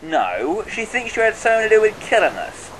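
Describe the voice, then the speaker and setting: A man's voice: sits high, wildly swooping pitch, a little fast, fairly bright tone, slight background noise, heavily acted, low-quality recording. A man playing a spirited, sardonic government agent in a fan audio drama. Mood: wry, relieved.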